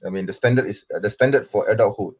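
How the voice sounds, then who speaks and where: A man from Malaysia with a very low voice argues his position in an online debate. He talks insistently, full of fillers and restarts. The audio is dull and lo-fi. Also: fast, a little mellow, slightly rough. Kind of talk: online debate answering questions.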